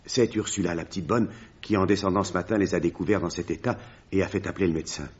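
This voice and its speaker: A grave village pastor in a radio mystery. His low voice is husky and swings expressively as he recounts horrors in a measured, dramatic way. The recording is dull and lo-fi. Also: fairly even intonation. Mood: solemn. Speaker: actor in a radio mystery play. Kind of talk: French radio drama acting.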